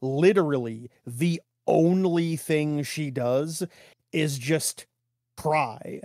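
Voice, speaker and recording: Guy with a kinda high voice, podcast chat, lots of "like", halting, really dry recording. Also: a little mellow, dry recording. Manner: halting, peppered with "like". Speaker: podcast co-host. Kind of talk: casual discussion from notes.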